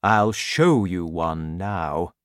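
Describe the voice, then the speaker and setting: Man playing a slow, emphatic, kindly giant. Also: low-pitched, animated, smooth, dry recording, voice pushed down, full character voice. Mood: earnest. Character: kind-hearted giant who hates his vegetable food.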